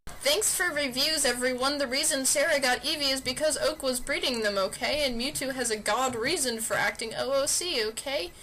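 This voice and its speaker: A woman's voice: sits high, moderate pace, sharp tone, rough recording. A woman narrating fan fiction in an amateur dramatic reading, with computer fan noise.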